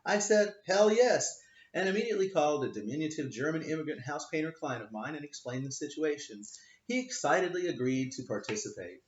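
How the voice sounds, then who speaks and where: A man's voice: a little high, animated, a little fast. A man, a lawyer, reading his own book aloud in a wry, offhand way, stressing the punchlines. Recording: roomy, dull lo-fi home recording.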